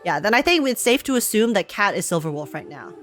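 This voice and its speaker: A woman giving casual, excited live commentary on a video game as a streamer, rambling and emphatic with plenty of slang. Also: medium pitch, fast talker, faint music behind.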